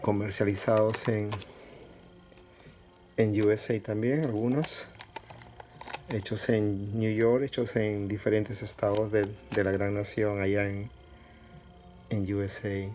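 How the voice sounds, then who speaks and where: Slow, low-key man over background noise. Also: flat delivery, darkish tone. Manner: halting, pausing between short phrases. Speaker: collector showing cassettes for sale. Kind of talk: casual product showcase. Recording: clear background noise with clicks.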